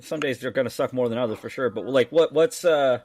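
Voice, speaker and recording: A man's voice: medium pitch, brisk, darkish tone. A man guesting on a casual podcast about his hot sauce business, talking candidly and bluntly with plenty of fillers and restarts, and acting out the conversations he recounts. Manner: candid and blunt, many fillers and restarts, acts out quoted exchanges.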